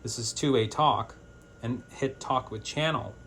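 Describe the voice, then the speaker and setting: A man's voice: medium pitch, unhurried, a bit bright, a little hiss or hum. A man presenting an informal step-by-step product tutorial in a plain-spoken, hands-on, instructional way.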